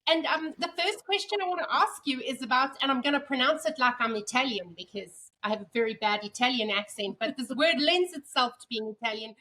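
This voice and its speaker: A woman's voice: high-pitched, brisk, few pauses, sharp tone, low-quality recording. A woman co-hosting a podcast, asking the guest questions in a playful, chatty way. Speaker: podcast co-host. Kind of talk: casual interview chat.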